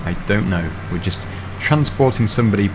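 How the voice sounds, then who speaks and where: Man, slightly hoarse, low voice, thinking aloud, background noise. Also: lively intonation, brisk. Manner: chatty, thinks aloud with fillers. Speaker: gaming channel host. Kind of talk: casual gameplay commentary.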